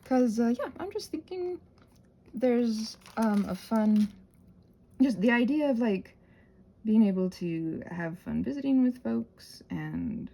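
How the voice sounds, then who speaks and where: A woman hosts a casual craft ramble in a playful, quirky way. Her pitch moves animatedly over a creaky, breathy voice, and she wanders from one aside to the next as if chatting with friends. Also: lively intonation, takes it slowly, dark tone. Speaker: host of a crafting channel. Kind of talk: casual rambling craft chat, ASMR channel.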